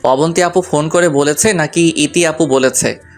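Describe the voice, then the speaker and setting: Male narrator, slightly high voice, playful colloquial romantic storytelling over light music. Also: normal speed. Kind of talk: first-person romantic story narration with acted dialogue.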